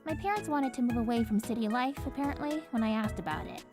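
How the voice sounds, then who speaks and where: A woman's voice: a little high, fast, natural voice. A woman voicing a reserved young schoolgirl in a visual novel. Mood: reserved, explaining. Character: reserved young schoolgirl, new transfer student. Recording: clear music behind.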